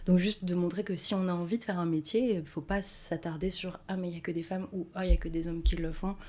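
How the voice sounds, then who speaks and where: A woman hosts a podcast interview in a somewhat low voice. She talks hesitantly, searching for words and filling pauses as she frames her questions. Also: darkish tone. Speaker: podcast host. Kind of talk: interview conversation.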